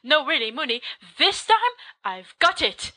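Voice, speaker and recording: A woman voicing an excitable, playful teenage boy who keeps insisting and guessing eagerly. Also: high-pitched, measured pace, no room sound, pitched above the performer's own voice, heavily acted. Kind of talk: fan audiobook reading.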